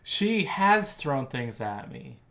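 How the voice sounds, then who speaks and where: Animated man bantering as podcast host. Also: mid-range voice, slow, creaky, very noisy. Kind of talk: casual banter.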